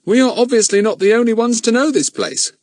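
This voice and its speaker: A man voicing a calm, practical grown man who speaks firmly and reasons things out. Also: extremely high pitch, dry recording, pitched above the performer's own voice. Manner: firm, reasoning, brisk.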